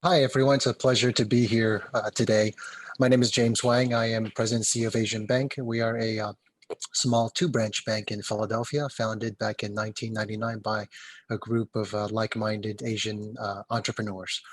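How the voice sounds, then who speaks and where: Halting low-voiced man, flat delivery. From Taiwan. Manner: halting, many fillers. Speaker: panelist, bank executive.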